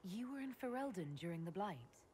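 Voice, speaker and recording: A woman's voice: medium pitch, sing-song, brisk, darkish tone, slight background noise, close to an everyday voice. An actress playing a determined female army leader in a fantasy video game, speaking directly and in a businesslike way. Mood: composed. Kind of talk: video game dialogue acting.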